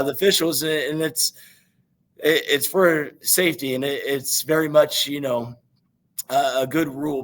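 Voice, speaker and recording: A man with a naturally raspy voice and a Midwestern American accent, a young high school football coach giving rambling interview answers full of fillers. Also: rough recording. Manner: rambling, many fillers and restarts.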